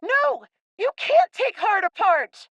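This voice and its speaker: Radio play young boy: very high, lively range, plucky and loyal, close dry sound. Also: slow pace, dry recording, heavily acted. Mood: upset, defiant. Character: plucky, suspicious, loyal little boy castaway.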